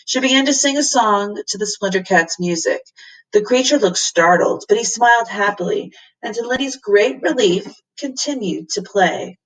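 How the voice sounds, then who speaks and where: A woman teacher reading a children's storybook aloud with expressive narration, recorded away from the microphone. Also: mid-range voice, moderate pace, recorded from a distance, rough recording.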